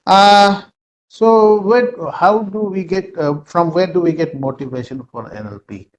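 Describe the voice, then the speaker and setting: A man with an Indian English accent, a native Nepali speaker, giving an online lecture in a halting way with many fillers, over a video call. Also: high-pitched, low-quality recording.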